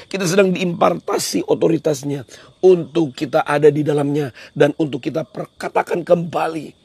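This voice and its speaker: Guy preaching a livestream devotional, high hoarse voice, real emphatic, dry close sound. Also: a little mellow, dry recording. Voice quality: hoarse, husky. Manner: emphatic, repeats phrases for effect. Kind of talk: devotional sermon on a livestream, switching into English at times.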